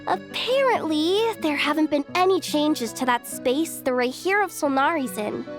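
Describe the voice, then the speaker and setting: A cheerful young courier girl, high and very lively in pitch. She is playful, casual and a bit mercenary, a video game character over light music. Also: moderate pace. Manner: breezy, chatty, playful.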